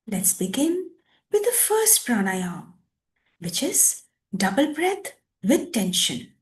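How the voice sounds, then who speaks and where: Meditation teacher, female, high voice with lilting intonation, measured phrasing and pauses. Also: big pitch swings. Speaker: meditation teacher leading a guided session. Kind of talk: guided meditation with reading aloud and instructions.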